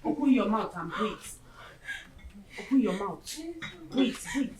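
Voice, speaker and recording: A woman's voice: medium pitch, lively intonation, unhurried, a crowd in the background, close to an everyday voice. An actress playing a middle-aged mother, coaxing and scolding with strong emotion. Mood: soothing. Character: caring but stern Nigerian mother, middle-aged.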